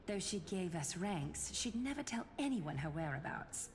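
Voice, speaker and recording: A woman's voice: fairly low pitch, sing-song, normal speed, a little hiss or hum. A woman voicing a poised, dry, aloof young noblewoman in a video game, composed and formal. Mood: composed, wry. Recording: long reverb on game dialogue, music behind.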